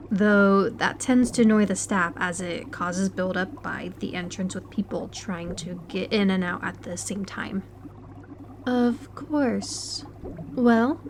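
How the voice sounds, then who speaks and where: A woman in an audio roleplay playing an educated, politely condescending aquarium worker who speaks in a measured, precise way. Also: medium pitch, slight background noise, natural voice. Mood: polite, informative. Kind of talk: audio roleplay acting, one-sided conversation.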